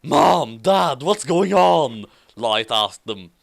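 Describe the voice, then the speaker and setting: Slow, theatrical comic reader with swooping delivery. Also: mid-range voice, lively intonation, creaky, dry recording, studio quality. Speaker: host reading fan fiction aloud. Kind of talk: comic dramatic reading with casual banter.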